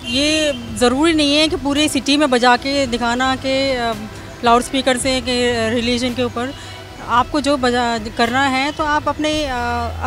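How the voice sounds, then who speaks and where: An Indian woman speaking casually in a street interview. She hesitates often, her voice is high, and faint music plays in the background. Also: a bit bright. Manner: hesitant, mixes in English. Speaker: member of the public giving opinion. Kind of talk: casual vox pop opinion.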